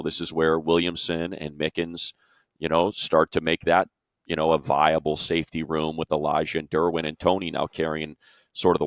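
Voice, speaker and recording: A man's voice: very deep voice, dry recording. A man chatting casually as a sports commentator, informal and conversational, running through his analysis in long stretches. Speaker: sports radio commentator and show panelist.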